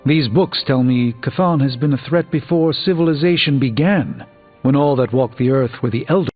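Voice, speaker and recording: A man's voice: mid-range voice, moderate pace, natural voice. Video game sorcerer superhero, male actor playing a middle-aged man, articulate and drily wry, formal scholar; thin phone-like sound over music. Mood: earnest, wry. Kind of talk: video game character dialogue.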